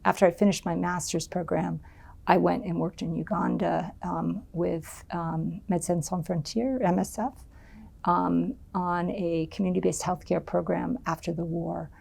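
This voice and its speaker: Interview subject: middle-aged woman from the US, low gravelly voice, halting and thoughtful. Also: steady tone, darkish tone. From United States. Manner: thoughtful, many ums and restarts. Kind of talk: oral history interview.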